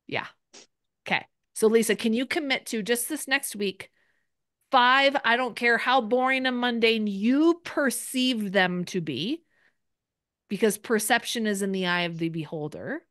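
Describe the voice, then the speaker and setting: A woman's voice: on the high side, a little slow, a bit bright, dry recording, clean studio sound. A woman coaching people in a live group session, speaking in a direct and encouraging way. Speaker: business coach.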